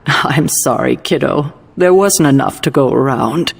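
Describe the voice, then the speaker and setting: Game mentor: fatherly, fond, measured, gravelly and fairly high, in long reverb. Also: full character voice. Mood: fond, gentle. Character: gentle, fatherly male mentor, affectionate and mischievous. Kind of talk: video game cutscene acting.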